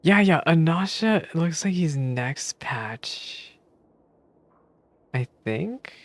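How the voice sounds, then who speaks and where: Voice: medium pitch, lively intonation, slow pace. A game streamer chatting casually on a live stream, rambling and thinking aloud while reacting to story cutscenes. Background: game music.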